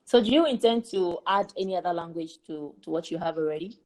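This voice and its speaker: Curious woman interviewer, mellow tone. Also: lowish voice, fast talker, low-quality recording. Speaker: podcast host. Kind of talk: casual interview questions.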